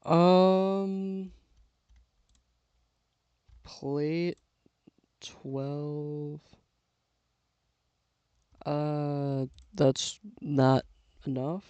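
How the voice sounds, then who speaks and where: A young man giving casual, self-talking commentary while building with LEGO, losing his voice and clearing his throat now and then. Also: fairly high pitch, no room sound, dull audio. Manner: relaxed, mutters to himself while building.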